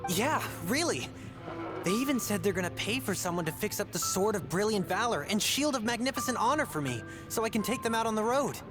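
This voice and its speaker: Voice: medium pitch, expressive, a little fast, natural voice. Actor playing an eager young adventurer, earnest and plain mid voice, game music behind. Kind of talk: game voice acting.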